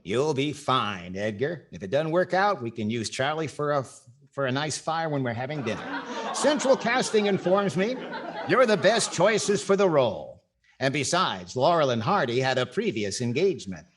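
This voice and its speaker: A man's voice: medium pitch, very animated, normal speed, close to an everyday voice. A man acting as a dry, wry comedian host and narrator in scripted 1940s radio comedy.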